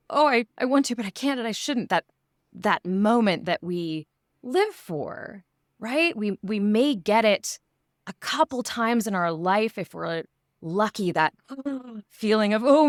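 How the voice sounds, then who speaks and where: A woman chatting in a casual interview, expressive and given to dramatizing feelings. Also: mid-range voice, no echo, studio quality.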